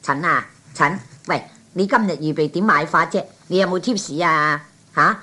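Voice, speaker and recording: A man's voice: a little high, normal speed, bright, forceful tone. A man voicing a sceptical, nagging woman at the races in a scolding, pointed manner, Cantonese 1960s radio comedy.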